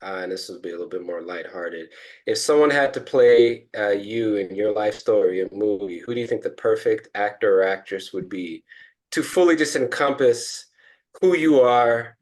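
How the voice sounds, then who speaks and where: A man co-hosting a podcast panel, relaxed and teasing, with frequent fillers. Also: on the low side, moderate pace. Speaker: podcast co-host. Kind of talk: casual panel chat.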